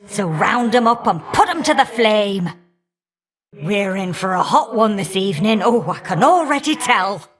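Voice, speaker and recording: A woman's voice: mid-range voice, animated, measured pace, natural voice. Woman doing a fiery older goddess for a game, cheeky and folksy, teases you fondly. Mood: fiery, gleeful. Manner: folksy, teasing, affectionate endearments and colloquial turns of phrase. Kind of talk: video game character voice acting.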